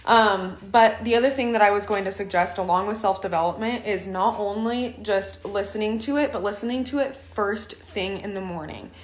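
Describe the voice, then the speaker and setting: Raspy young woman in an echoey kitchen. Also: medium pitch, normal speed, few pauses. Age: young adult. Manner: chatty, earnest, lots of "like" and "um". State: hoarse from a lingering cough. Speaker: livestreaming mom and team leader. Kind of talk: casual advice livestream. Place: home kitchen, indoors. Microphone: phone camera at arm's length. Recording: echoey kitchen room sound.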